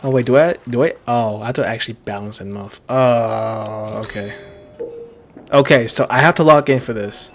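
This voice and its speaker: A man's voice: medium pitch, normal speed, soft background music. A man giving casual gameplay commentary, muttering to himself and swearing freely. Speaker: video game player.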